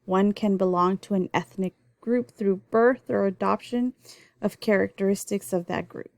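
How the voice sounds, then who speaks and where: A woman's voice: mid-range voice, fairly even intonation, normal speed, gravelly, a little mellow, no room sound, clean studio sound. A young adult woman, born in El Salvador and raised in the US, teaching a recorded nursing lecture in an explanatory way with frequent ums and restarts. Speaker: nursing instructor.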